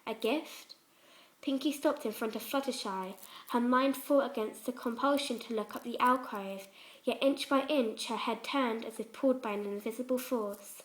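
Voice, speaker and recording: A woman's voice: on the high side, normal speed, low-quality recording. A woman reading fan fiction aloud as a dramatic scripted story narration.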